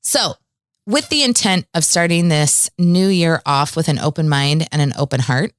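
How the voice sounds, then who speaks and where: A woman's voice: sits low, moderate pace, no room sound, clean studio sound. A woman from Eastern Washington in her forties, hosting a podcast with an earnest, heartfelt manner. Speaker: podcast host. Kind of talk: podcast intro and casual interview.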